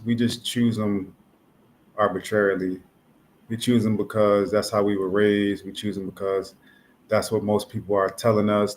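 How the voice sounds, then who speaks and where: A man in his thirties from North New Jersey, thinking aloud in a measured, reflective monologue about how people form beliefs. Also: lowish voice, darkish tone, slight background noise. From North New Jersey, USA. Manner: measured, thoughtful, musing aloud with fillers. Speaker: artist explaining his own concept.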